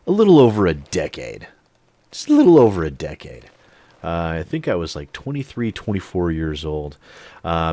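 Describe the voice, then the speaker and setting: A man in his late thirties, an animated storyteller who swears freely, telling a personal story straight to the mic. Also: sits low, dry recording. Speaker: channel host. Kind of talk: storytime monologue.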